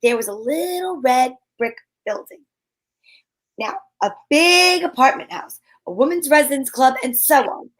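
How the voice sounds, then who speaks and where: A high, bright voice from a woman playing a wisecracking amateur sleuth, narrating an old-time radio mystery at a brisk clip. Her delivery is snappy and knowing, the classic hardboiled first-person style. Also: expressive. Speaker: narrator and lead in a radio play.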